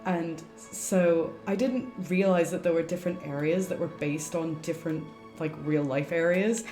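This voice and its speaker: A woman's voice: fairly low pitch, fairly even intonation, moderate pace, dark tone. A woman livestreaming a game, chatting casually as she plays. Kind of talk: casual chat while playing. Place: indoor room, livestream setup. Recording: roomy streamer mic with game music.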